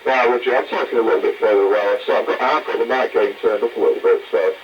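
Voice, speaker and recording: A man's voice: lowish voice, steady tone, fast, far from the mic. A man chatting casually as a radio operator, heard over a CB radio transmission. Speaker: radio operator helping with test. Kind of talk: casual two-way radio chat. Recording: muffled noisy CB radio link.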